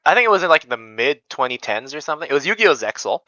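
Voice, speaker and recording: A young man from Indonesia chatting casually as an interview guest, hesitant and modest, with a clear, smooth voice. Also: fairly high pitch, very animated, quick pace, bright, forceful tone, no room sound, lo-fi sound. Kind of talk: casual chat.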